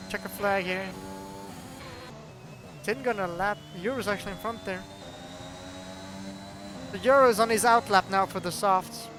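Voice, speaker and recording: A high-voiced man from Norway with a Norwegian accent calls a sim race in English, his second language, chatty and quick to laugh. Music plays clearly behind him throughout. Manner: chatty, excitable, laughs often. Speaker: sim racing commentator. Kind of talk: live race commentary.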